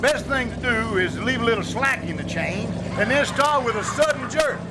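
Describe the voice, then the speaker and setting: High-pitched, cocky bungler played by a man. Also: expressive, moderate pace. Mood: bossy. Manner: casual, bossy, slangy, hesitant starts. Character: cocky, slangy grown man, bungling schemer. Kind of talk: old-time radio comedy acting. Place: small-town theater stage, live performance. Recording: noisy live theater stage recording.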